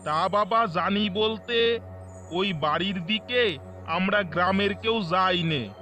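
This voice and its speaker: A man's voice: sits high, takes it slowly, sharp tone, soft background music, full character voice. A man playing a humble elderly village father-in-law in a horror cartoon, folksy, obliging and a little wary.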